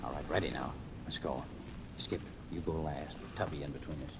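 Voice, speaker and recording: A man's voice: extremely low pitch, steady tone, normal speed, gravelly. An actor playing a confident, hard-boiled male investigator in 1940s radio mystery style, commanding and insistent with clipped delivery. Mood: hushed, tense. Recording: noisy, muffled old radio recording.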